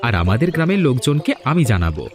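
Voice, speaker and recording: A man's voice: medium pitch, very animated, quick pace, music in the background, natural voice. A man playing a determined, encouraging village friend in a fairy-tale cartoon, with a resolute manner. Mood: content. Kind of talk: dramatic dubbed cartoon dialogue.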